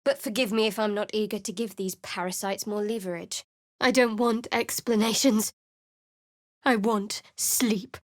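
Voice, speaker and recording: Woman doing sardonic young fantasy game cleric gal, guarded, dry clipped delivery, medium pitch, no room sound. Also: steady tone, unhurried, no echo, natural voice. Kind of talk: fantasy video game voice acting.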